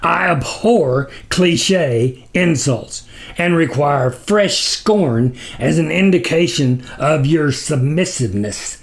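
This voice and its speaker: A man voicing a huge, tyrannical demon lord with a booming, imperious, quick-tempered delivery for a storybook. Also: animated. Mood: grudging, imperious. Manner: slow, imperious drawn-out commands.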